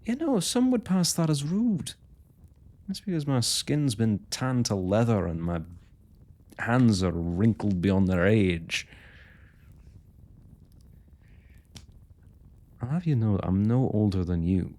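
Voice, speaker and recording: A man's voice: lowish voice, animated, a little slow, a little mellow. A man playing a young royal blacksmith in an intimate fantasy roleplay, speaking to the listener in courtly, hesitant, old-fashioned phrasing. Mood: frank, teasing. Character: young male royal blacksmith, smitten, forthright, proud working man.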